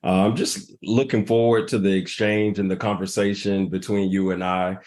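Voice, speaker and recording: A man's voice: low-pitched, level tone, moderate pace, darkish tone. A middle-aged man speaking as a podcast guest, earnest and heartfelt, sometimes repeating words as he builds a point. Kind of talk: reflective interview conversation.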